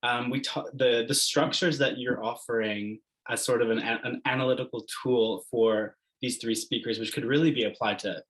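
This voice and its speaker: A man's voice: medium pitch, fairly even intonation, moderate pace, few pauses, fairly bright tone, low-quality recording. Man on a video call asking a long, hesitant question at a lecture Q&A, full of ums and restarts. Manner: hesitant, many fillers and restarts. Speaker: seminar attendee asking a question. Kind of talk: online lecture Q&A.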